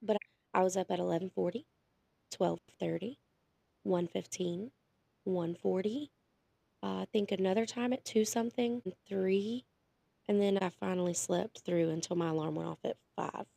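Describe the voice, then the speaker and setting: A woman's voice: mid-range voice, steady tone, moderate pace, vocal fry, warm tone, no room sound. A woman in her thirties, sick with chest congestion, chatting casually as a podcast co-host and rambling through details. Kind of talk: casual chat.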